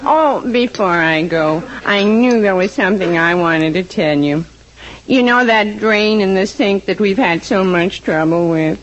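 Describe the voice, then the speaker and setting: A woman acts out a breezy housemaid from a 1940s radio comedy. She spins tall tales in a slow, deadpan drawn-out way. The sound is a muffled vintage broadcast. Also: mid-range voice, natural voice. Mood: chatty, amused. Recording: dull vintage radio recording.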